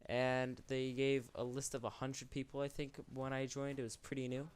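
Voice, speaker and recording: A man's voice: mid-range voice, completely flat delivery, moderate pace, clear, resonant tone. A young man hosting an unscripted commentary, rambling and correcting himself, sick and losing his voice. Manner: rambling, self-correcting, candid. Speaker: YouTube channel host.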